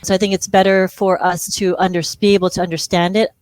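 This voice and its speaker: A middle-aged woman from Hawaii talks as a guest on an interview, chatty and self-deprecating, laughing often. Her voice sits fairly low, and the recording is dry and close. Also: level tone, quick pace, a little mellow, no echo. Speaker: speech-language pathologist guest. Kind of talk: conversational interview.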